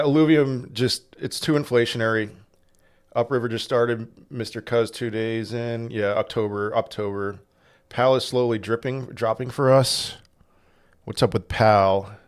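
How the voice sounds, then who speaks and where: Man, livestream host, casual, rambling, answers chat questions, mid pitch, desk mic. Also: steady tone, unhurried, pauses often, clear, resonant tone. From Portugal. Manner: rambling, reacts to chat questions, frequent restarts. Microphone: desk microphone.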